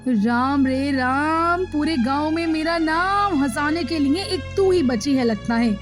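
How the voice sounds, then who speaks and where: A woman voicing a scolding, anxious village mother in an animated moral story. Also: high voice, slow, over music. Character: scolding, anxious village mother fretting over daughter's marriage.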